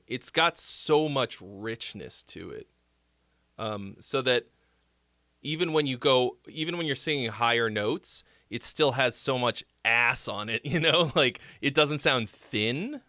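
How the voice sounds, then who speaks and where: Man, nasal voice, bright tone, dry close sound, rambling livestream host. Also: mid-range voice, no room sound. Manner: rambling, many fillers and restarts. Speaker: music critique livestream host. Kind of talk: casual livestream song feedback.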